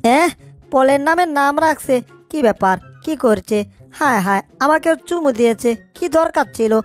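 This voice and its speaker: A small, high-voiced cartoon mouse performed by a woman frets and fusses. He sounds exasperated yet kindly at heart, with faint music underneath. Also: lively intonation, moderate pace. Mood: fussy. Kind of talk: cartoon fan dub.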